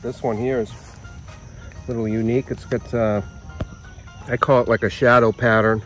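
A man's voice: medium pitch, little pitch movement, moderate pace, a bit bright, faint music behind. A middle-aged man with a Massachusetts accent, talking enthusiastically about flowers in a sunny garden. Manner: enthusiastic, easygoing, chuckles often. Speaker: garden visitor. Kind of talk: casual interview about flowers.

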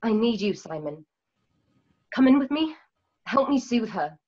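A woman playing a calm, wise healer in a radio drama, speaking in measured, formal phrases. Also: a little high. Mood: urgent. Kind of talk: online radio drama acting. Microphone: video call, home setup. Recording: dull lo-fi video call.

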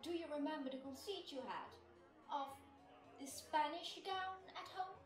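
In an echoey recording, a woman acts a swindler's sly sidekick for an audio comedy. The voice is high and teasing. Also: normal speed, recorded from a distance, over music, natural voice. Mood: sly, prompting. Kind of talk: amateur audio-drama comic acting. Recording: roomy, echoing remote recording.

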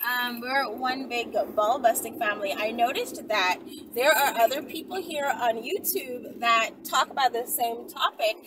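A young adult woman chatting casually to her viewers like a vlogger, animated and conversational, often checking in with short tags. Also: on the high side, unhurried. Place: inside a car in daylight. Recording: distant mic, noisy car interior.

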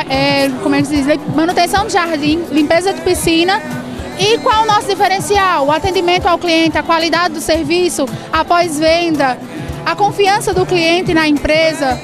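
A woman, giving promotional interview answers as lists of short phrases. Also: extremely high pitch. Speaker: business owner being interviewed. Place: outdoor evening fair booth. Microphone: handheld reporter microphone held close.

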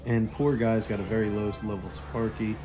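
A young man with a hoarse, partly lost voice, casually commentating a mobile strategy game and chuckling quietly as he plays. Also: fairly low pitch, normal speed, music in the background, rough recording. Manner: relaxed, chuckles quietly while playing.

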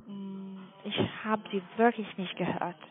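A woman with a foreign accent in German making a polite, halting sales call as a non-native speaker. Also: medium pitch, steady tone. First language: not German. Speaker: telemarketing caller for a trading firm. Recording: tinny phone line.

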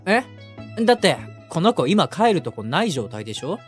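A man's voice: on the high side, sing-song, normal speed, faint music behind. A man voicing a cheeky, playful, boyish young gang leader in an audio drama. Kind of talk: Japanese boys' audio drama.

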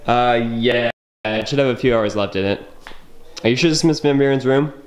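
A man's voice: mid-range voice, fairly quick, natural voice. A young man playing a jittery, reluctant student cameraman in a found-footage horror film, with noisy amateur sound. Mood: casual.

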